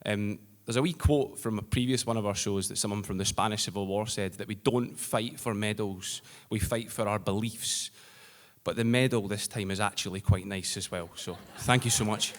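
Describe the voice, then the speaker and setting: Scottish male, lowish register, anecdotal and informal speechmaker, big-room reverb. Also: normal speed. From Scotland, near Edinburgh. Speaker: theatre company co-founder accepting an award. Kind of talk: acceptance speech. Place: live on stage at an awards ceremony. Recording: reverberant hall sound.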